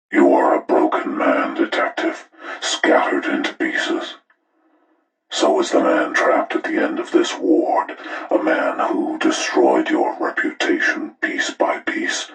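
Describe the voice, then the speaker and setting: An older killer mastermind for a horror game, with a rock-bottom, gravelly voice. He moralizes and taunts calmly, every word slow and ominous. The sound is filtered like a tape playback, echoing and set back from the microphone. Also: extremely low pitch, heavily acted. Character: menacing older male serial killer mastermind, calm and moralizing. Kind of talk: scripted villain monologue for a horror game. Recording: roomy, distant, radio-like playback.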